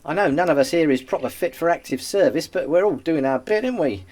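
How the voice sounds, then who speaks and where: A man's voice: fairly high pitch, lively intonation, fast, darkish tone. A man playing a chatty, cheeky wartime post room clerk with a working-class London accent in a radio play. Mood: chatty, eager. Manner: colloquial, cheeky storytelling.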